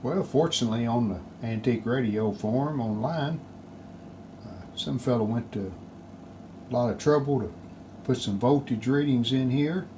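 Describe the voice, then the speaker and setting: A man's voice: mid-range voice, moderate pace, mellow. A man giving casual, unscripted hands-on repair commentary, thinking aloud in short phrases as he works. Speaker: hobbyist radio restorer presenting a repair video. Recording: clearly noisy home-video sound.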